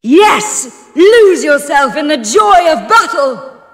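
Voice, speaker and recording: An actress playing a proud, fierce goddess of war and ice in a fantasy video game, declaiming in a grand, commanding manner. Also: female voice, high-pitched, sing-song, slow pace, exaggerated voice. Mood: fierce, exultant. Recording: long reverberant hall effect.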